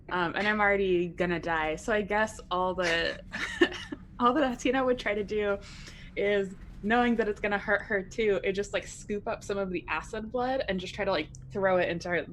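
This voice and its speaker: A woman plays a tabletop roleplaying game in a mid-pitched, lively voice that swings up and down. She speaks casually, over some background noise.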